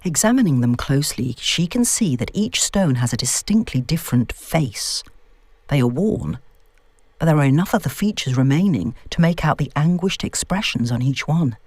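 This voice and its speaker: A woman reading a scripted story as an audiobook narrator, in a measured, expressive storytelling manner. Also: sits low, slightly rough, no room sound. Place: dry, close-miked recording space.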